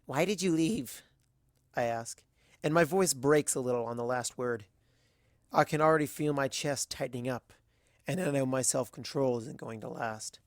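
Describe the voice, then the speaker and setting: An audiobook narrator reads first-person fiction expressively and dramatically. The voice sits at a medium pitch with noticeable creak, and the recording is very dry. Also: moderate pace, no echo.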